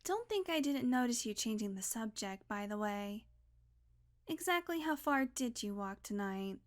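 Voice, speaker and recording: Woman doing a snarky vampire lady, kinda high voice, teasing and sweary, secretly caring, close-up audio roleplay banter. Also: expressive, moderate pace, close to an everyday voice. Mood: concerned, teasing. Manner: sardonic, casual, swearing banter. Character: snarky, teasing female vampire, centuries old, grudgingly caring. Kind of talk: intimate audio roleplay addressed to the listener.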